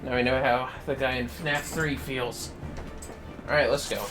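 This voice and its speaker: A man's voice: medium pitch, fairly even intonation, measured pace. A man livestreaming a video game, rambling casually and half talking to himself and his viewers. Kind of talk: casual gaming livestream chat, muttering to self and viewers. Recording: game music behind the voice. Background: video game music.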